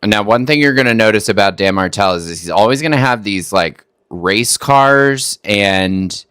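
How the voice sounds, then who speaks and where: A man's voice: lowish voice, moderate pace, a bit bright, smooth, dry recording, clean studio sound. A man from Texas hosting a reaction video, making wry, skeptical remarks that stumble over restarts. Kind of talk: casual commentary on a clip.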